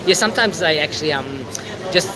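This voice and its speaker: A man with a squeaky voice, chatting casually and at length as a travel vlogger on a live stream, a bit over-caffeinated. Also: lively intonation, brisk, over a noisy crowd, rough recording. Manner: chatty, rambling. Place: outdoors in a busy city. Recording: loud outdoor street noise with traffic.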